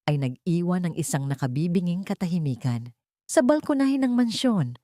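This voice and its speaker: Female audiobook narrator, Filipino accent, lowish pitch, dramatic storytelling, dry recording. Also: darkish tone, no echo.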